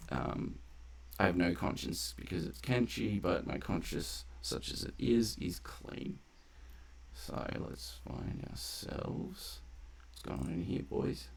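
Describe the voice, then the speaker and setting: A man giving casual gameplay commentary, muttering asides and exclaiming as he reacts out loud. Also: deep voice, gravelly, slight background noise. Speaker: gamer narrating a playthrough.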